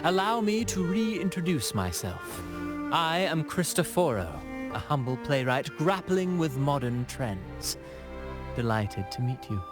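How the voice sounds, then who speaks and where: An actor playing a courtly, theatrical playwright in a video game, speaking in ornate, pompous phrases with dramatic pauses. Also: male voice, on the high side, very animated, over music. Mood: grandiose, musing. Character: theatrical, courtly, flowery male playwright with grand airs. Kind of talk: video game character acting.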